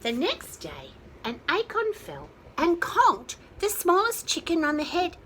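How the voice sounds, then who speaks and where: A woman reading aloud to young children, adding chatty asides and questions to listeners, with drawn-out emphasis on key words. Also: very high-pitched, huge pitch swings, a bit bright, a little hiss or hum. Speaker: children's storyteller. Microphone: phone held nearby.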